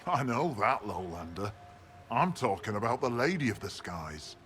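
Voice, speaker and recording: Low-voiced, gruff barbarian healer. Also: male voice, sing-song, normal speed, fairly bright tone, a little hiss or hum. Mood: gruff, solemn. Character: stoic barbarian mountain healer, gruff, devout, proud, scornful. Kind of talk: video game dialogue.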